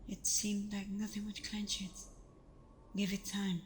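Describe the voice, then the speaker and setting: A woman playing a gentle, protective vampire girlfriend in an ASMR roleplay, speaking softly and often close to a whisper, soothing and unhurried. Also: medium pitch, flat delivery, a little hiss or hum, lightly acted. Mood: tender, reassuring. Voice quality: soft, breathy, often near whisper.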